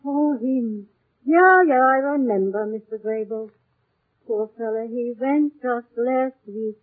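A woman's voice: fairly high pitch, slow pace. An actress playing a brash, money-loving, wisecracking landlady in a 1940s radio drama. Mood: chatty, joking. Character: brash, nosy, money-loving landlady, big woman, joking. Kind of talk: 1940s radio comic character acting. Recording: muffled narrow-band 1940s radio recording.

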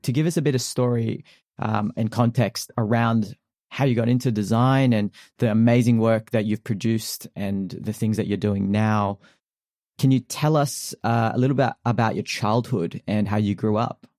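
A man with a fairly low voice, hosting an interview. He asks his questions haltingly, full of ums and restarts. The sound is dry and close, with almost no room. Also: steady tone, a little mellow, no echo. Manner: friendly, halting questions with many fillers. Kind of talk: casual interview.

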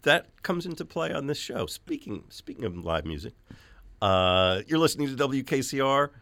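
A man's voice: medium pitch, big pitch swings, moderate pace, gravelly, a bit bright, dry recording. A man hosting a radio show, chatting casually with a guest in a studio, laid-back and playful. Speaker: radio host. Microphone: studio microphone.

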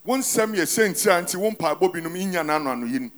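Male interpreter, high voice, tracks the preacher phrase by phrase in church. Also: lively intonation, fast talker, fairly bright tone. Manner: follows the preacher line by line. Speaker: sermon interpreter. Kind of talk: interpreting a sermon.

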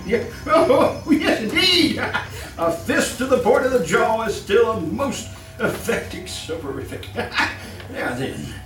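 A man's voice: extremely high pitch, very animated, slow, roomy sound, far from the mic, over music, full character voice, low-quality recording. A man playing a fussy, murderous master of the house in a 1930s-style radio horror play, with a stammering, repetitive, chuckling delivery. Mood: gleeful, mad. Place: live stage performance in a room.

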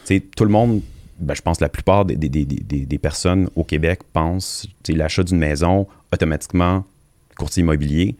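A man from Montreal with a Québécois French accent, a guest in an interview, speaking in an agreeable, halting way with many repeated words. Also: low-pitched, darkish tone, no room sound. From Montreal, Canada. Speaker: mortgage executive guest. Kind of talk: casual interview chat.

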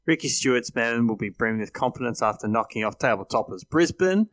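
A man's voice: mid-range voice, animated, brisk, no echo. An Australian man giving casual sports team analysis as a podcast panellist.

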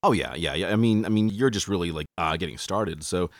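A man's voice: deep voice, fairly quick, no echo, studio quality. A man interviewing a singer, friendly and a bit hesitant, often repeating words. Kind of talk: casual interview questions.

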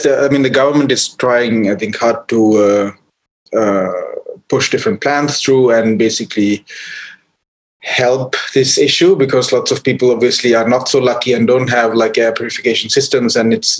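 A man from Sri Lanka speaks as a guest on a podcast. He talks in a halting way, circling back with restarts and fillers while he explains things. His voice sits at a middle pitch. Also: low-quality recording. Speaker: photographer guest. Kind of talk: podcast interview.

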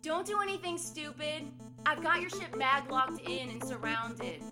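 A woman playing a smug, mocking young villainess in a sci-fi audio drama, with a drawn-out, sneering delivery. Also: high-pitched, steady tone, over music. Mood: smug, taunting.

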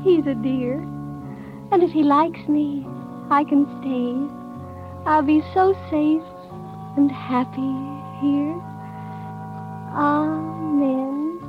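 An innocent, trusting young princess in a 1930s radio play. She speaks slowly and tenderly in a high voice, over music on a very dull old recording. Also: fairly even intonation, full character voice. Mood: tender, hopeful. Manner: earnest, tender, prayerful. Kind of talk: 1930s radio drama acting.

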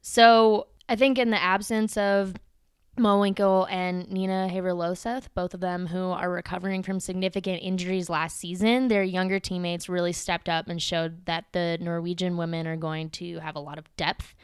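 Woman, podcast co-host, measured delivery, slightly low pitch, very clear voice. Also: flat delivery, dry recording, clean studio sound. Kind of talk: casual podcast commentary on ski racing.

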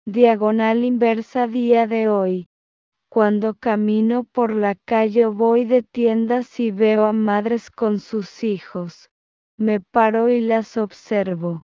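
A woman reading a text aloud phrase by phrase, then repeating each phrase slowly and calling out the punctuation marks. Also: a little high, steady tone, clean tone, no room sound, dull audio.

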